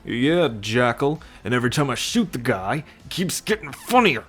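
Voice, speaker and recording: A man's voice: medium pitch, animated, normal speed, soft background music, lightly acted. A man voicing a cocky, teasing alien military scout and possessive captor in an ASMR roleplay, boastful and sardonic with slangy swearing. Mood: amused, bragging. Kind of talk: ASMR roleplay acting addressed to the listener.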